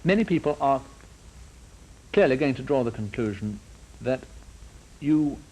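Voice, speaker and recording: A man interviewing formally on a 1950s broadcast, with measured, deliberate and probing questions. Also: mid-range voice, expressive, darkish tone. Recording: noisy 1950s broadcast recording.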